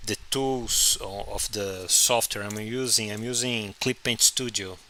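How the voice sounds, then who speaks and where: A man whose first language is Portuguese, chatting casually on a live stream while he draws, halting and restarting often. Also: on the low side, fairly even intonation, bright, forceful tone, slight background noise. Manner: halting, many restarts and fillers. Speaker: comic artist streaming his drawing.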